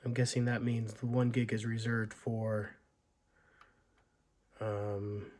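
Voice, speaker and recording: A man with a hoarse voice from being sick, casually thinking aloud with many pauses and fillers as he walks through an old laptop's setup and specs. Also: fairly low pitch, level tone, a little mellow. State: hoarse from illness. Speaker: tech video host. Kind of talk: casual unboxing walkthrough.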